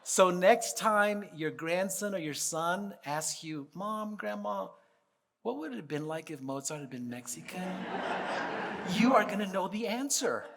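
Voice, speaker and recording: A man who is a pianist and composer, talking casually to a concert audience and reciting a poem in a playful manner, with many chuckles and dramatic pauses. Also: high voice, animated, vocal fry, a bit bright. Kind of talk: informal stage talk and poem recitation. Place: concert hall stage. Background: faint audience laughter.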